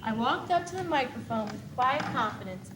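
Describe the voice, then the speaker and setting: A woman's voice: fairly high pitch, animated, normal speed, close to an everyday voice. A young teenage girl performing a candid schoolgirl's confession as a scripted stage monologue. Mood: candid, storytelling. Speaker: student actor. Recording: echoey noisy 1980s school TV tape.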